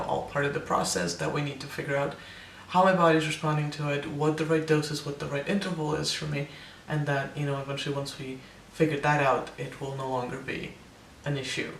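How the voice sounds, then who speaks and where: Gravelly young man, recorded from across a room. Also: fairly high pitch, flat delivery, moderate pace, a bit bright, echoey room, far from the mic, slight background noise. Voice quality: voice cracks, air breaking through on some notes. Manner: hesitant, many ums and restarts. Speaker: vlogger. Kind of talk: casual personal update to camera.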